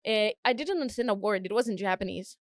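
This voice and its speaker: A woman's voice: mid-range voice, quick pace, no room sound, clean studio sound. A young woman with a Brazilian Portuguese accent, a singer chatting as an interview guest, laughing often and restarting her sentences. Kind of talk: casual interview chat.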